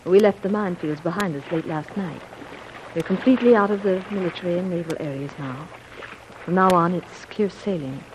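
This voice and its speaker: An actress playing a calm, guarded, fearless woman passenger in a composed, formal 1930s radio serial style. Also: on the low side, fairly quick, close to an everyday voice. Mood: calm, dismissive. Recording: noisy band-limited old radio recording.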